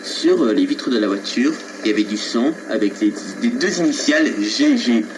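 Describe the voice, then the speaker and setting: A man making a prank phone call in a hesitant, halting way, keeping a deadpan, earnest tone. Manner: hesitant, halting, feigned seriousness. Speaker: prank caller. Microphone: telephone line. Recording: noisy low-quality telephone recording from 2001.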